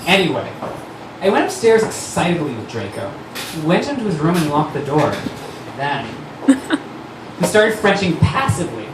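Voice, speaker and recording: A man's voice: a little high, big pitch swings, moderate pace, pauses often. A man giving a theatrical, overdramatic comic reading of a story on stage. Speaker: writer-director and actor, performing reader. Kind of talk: comic dramatic reading of fan fiction. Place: on stage at a live fundraiser. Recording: echoey distant stage recording, noisy.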